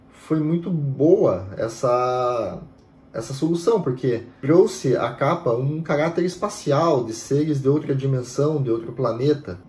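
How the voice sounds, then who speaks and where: A man's voice: medium pitch, reverberant. A man in his thirties, a music history host, explaining steadily to camera at home, often tagging sentences with "né". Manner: steady, explanatory, frequent "né" fillers. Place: home room with bookshelves.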